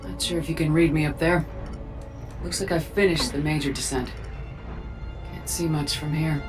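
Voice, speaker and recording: A woman's voice: deep voice, fairly even intonation, normal speed, music in the background, lightly acted. A woman playing a resolute, controlled military space commander in a video game, giving terse, matter-of-fact status reports. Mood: wary, controlled. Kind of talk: video game cutscene acting.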